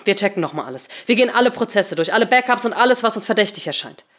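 Woman narrator as an irritated, gruff male programmer. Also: mid-range voice, animated, very fast, fairly bright tone. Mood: grumbling.

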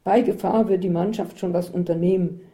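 A woman voicing an easygoing man, dark-toned. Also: lowish voice, steady tone, brisk, lightly acted. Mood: calm. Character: easygoing man traveling companion, teasing.

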